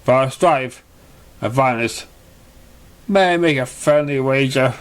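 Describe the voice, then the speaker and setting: A man's voice: medium pitch, slow pace, clean tone, lightly acted. A man voicing a serene, mysterious male spirit creature, a trickster in a storybook tale.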